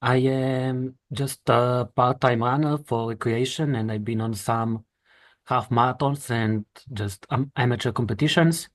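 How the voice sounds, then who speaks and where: A man's voice: medium pitch, steady tone, measured pace, no echo. A man from Slovenia, introducing himself plainly.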